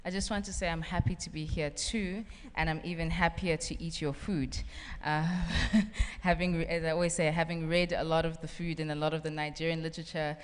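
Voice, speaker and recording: Low-voiced chatty woman novelist. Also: little pitch movement, fairly quick, clear, resonant tone. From South Africa. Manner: chatty, laughs often, many "um" fillers. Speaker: novelist on a festival panel. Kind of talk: panel talk and reading aloud from a novel. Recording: reverberant festival hall.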